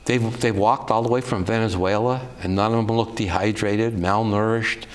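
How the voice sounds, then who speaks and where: A man's voice: fairly low pitch, fairly even intonation, moderate pace. An older man on a political talk show, speaking in an opinionated, conversational way. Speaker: show co-producer and guest.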